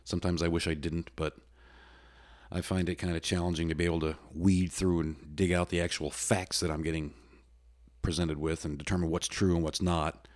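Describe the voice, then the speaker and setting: A loud, very low-voiced middle-aged man from the United States hosts his own podcast alone. He rambles in a folksy, plainspoken way, stopping and restarting his sentences often. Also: flat delivery, moderate pace. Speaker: podcast host. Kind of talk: solo casual podcast talk.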